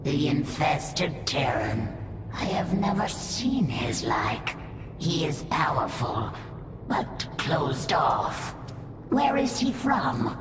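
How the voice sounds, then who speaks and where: An actress playing a proud, primal alien brood mother in a video game, speaking deliberately and ominously. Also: low-pitched, sing-song, exaggerated voice. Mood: intrigued, ominous. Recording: echoing, distant, noisy game audio.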